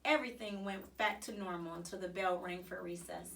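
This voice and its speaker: A woman reading a children's story aloud in an expressive, animated way with dramatic emphasis. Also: lowish voice, brisk, creaky, distant mic, slight background noise. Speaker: storybook reader.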